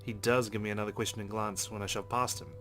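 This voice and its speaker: A man's voice: lowish voice, little pitch movement, fast, a bit bright, faint music behind. A young man hosting a let's play, reading game narration aloud with casual reactions.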